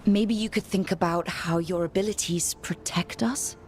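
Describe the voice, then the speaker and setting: Casting: an actress as a steady, encouraging female game hero with a slightly low voice and easy wit. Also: moderate pace, slight background noise, lightly acted. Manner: encouraging, composed. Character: steady, supportive female adventurer and leader with light teasing wit. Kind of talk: video game cutscene acting.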